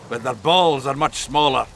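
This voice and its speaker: Boisterous, bright-voiced dwarf warrior. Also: male voice, mid-range voice, unhurried, a little hiss or hum. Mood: sardonic, boastful. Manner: blunt, crude, barking orders. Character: gruff foul-mouthed male dwarf warrior commander, boisterous and blunt. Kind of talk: fantasy video game acting.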